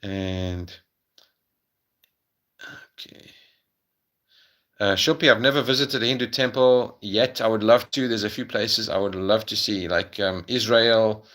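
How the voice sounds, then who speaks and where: A middle-aged South African man talks through viewers' questions on a live stream at home. His voice sits a little low but sounds bright and forward. He pauses often, with plenty of ums and uhs and restarts. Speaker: medium answering viewer questions on a livestream. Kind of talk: casual livestream Q&A.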